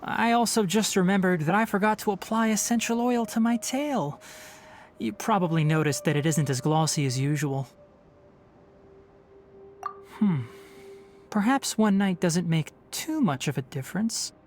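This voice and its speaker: A man voicing a thoughtful young forest ranger with animal ears and a tail in a video game, speaking in a measured, articulate way. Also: sits high, lively intonation, slight background noise. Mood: musing. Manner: measured, articulate, matter-of-fact. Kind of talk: video game character dialogue. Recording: game music behind the voice. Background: background music.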